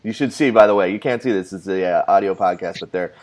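Male podcast host, lowish voice, upbeat and chatty with playful asides. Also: fast, a little mellow. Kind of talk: casual podcast chat and segment narration.

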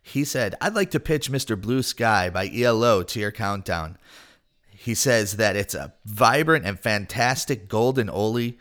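Male podcast host, lowish voice, upbeat and chatty with playful asides. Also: expressive, a little slow, a bit bright. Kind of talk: casual podcast chat and segment narration.

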